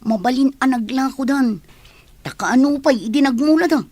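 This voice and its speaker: Village radio comedy: complaining, work-shy student girl woman performer, high voice, Ilocano accent. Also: moderate pace, a little mellow, no room sound. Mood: grumbling. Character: lazy complaining young female student from farming family.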